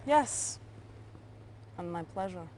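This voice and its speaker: An actress playing a cold, cunning Soviet intelligence chief in a game cutscene, clipped and controlled with quiet menace. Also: female voice, on the low side, animated, slow. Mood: sly. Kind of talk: video game cutscene acting. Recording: noisy 1990s game cutscene audio.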